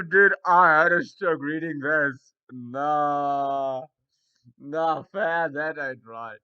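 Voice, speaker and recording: A young man talks in rambling, casual commentary that breaks into loud disbelief. His voice is bright and forceful, and the sound comes through a muffled, lo-fi mic. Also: mid-range voice, smooth. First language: Afrikaans. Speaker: YouTuber reviewing Reddit posts. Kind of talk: casual commentary reading posts.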